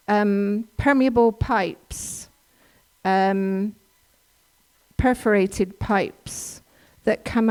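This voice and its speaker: A woman's voice: mid-range voice, darkish tone, smooth. A woman from England with an English accent, giving a chatty, informal workshop talk with slides, often restarting her sentences. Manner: chatty and explanatory, with frequent restarts and ums. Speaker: workshop presenter. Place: church hall.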